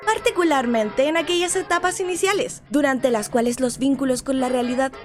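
Over prominent music, an actress plays a female announcer with a high voice. She delivers scripted, eerie explanations in the manner of a radio drama. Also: a little fast, natural voice. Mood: instructive, eerie.

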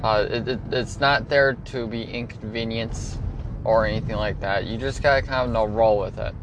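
A man with a stutter talks casually about safety on the road, repeating and restarting words as he goes. He is a tow truck driver and pleads earnestly with drivers. Loud traffic roars behind him outdoors. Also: lowish voice, steady tone, normal speed, lo-fi sound. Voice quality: stutters, frequent repetitions and restarts. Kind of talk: casual vlog talk to camera. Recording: loud roadside traffic noise.

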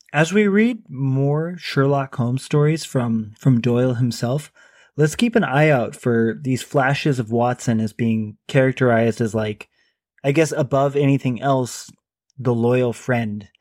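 A man's voice: mid-range voice, takes it slowly, a little mellow, dry recording. A man co-hosting a book podcast, talking loosely with many fillers and steering the episode to its close. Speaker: podcast co-host. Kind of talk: casual book chat.

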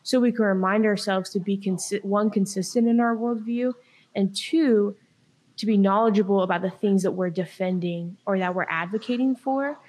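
A woman's voice: medium pitch, normal speed, a little mellow. A young woman who grew up in China, earnestly explaining her views as a podcast guest. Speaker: podcast guest, workshop leader. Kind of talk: podcast discussion.

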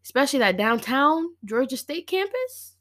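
A woman in her twenties, slightly hoarse after an illness, hosting her own podcast in a chatty, slangy way with many fillers and small laughs. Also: high voice, very animated, moderate pace, fairly bright tone. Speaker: podcast host. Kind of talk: casual solo podcast chat.

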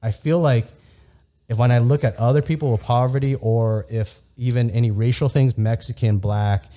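A man's voice: medium pitch, fairly even intonation, moderate pace, dark tone. A young man, a native Vietnamese speaker raised in the United States, talking candidly and heatedly in a casual podcast chat, with frequent swearing.

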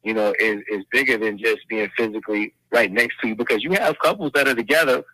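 Earnest, bright-voiced man in his forties. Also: fairly low pitch, no room sound, low-quality recording. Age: 40s. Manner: measured, earnest, with religious phrasing. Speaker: guest. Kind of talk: casual podcast chat.

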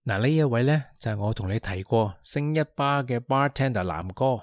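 A chatty young man who teases his friends, acted by a man, with a Hong Kong Cantonese accent. His voice is mid-pitched and dry, recorded close. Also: expressive, moderate pace, no echo, close to an everyday voice. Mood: teasing. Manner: joking, talkative, teasing. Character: chatty teasing young man, office worker. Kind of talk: Cantonese radio drama acting.

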